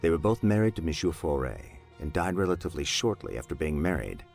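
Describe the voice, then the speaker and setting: A grave detective speaks in a deep, creaky voice with lively inflection. He is formal, measured and earnest, as in a dramatic video game cutscene. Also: faint music behind, full character voice. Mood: earnest, resolute. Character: grave, formal male detective investigating deaths.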